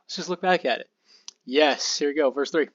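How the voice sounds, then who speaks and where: A young man with a slightly hoarse voice hosts a podcast, talking through a Bible passage in a relaxed, rambling way. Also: fairly high pitch, normal speed, no room sound, highs cut off. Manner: relaxed and rambling, with fillers and asides. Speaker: podcast host. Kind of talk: casual Bible study talk.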